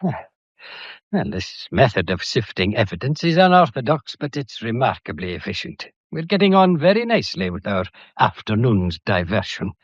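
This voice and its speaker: An actor playing a methodical, courteous but probing police inspector in a detective drama, with a deliberate, pedantic and wryly theatrical way of talking. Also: male voice, mid-range voice, very animated, husky, no room sound, muffled recording. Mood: probing, satisfied. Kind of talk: detective radio drama acting.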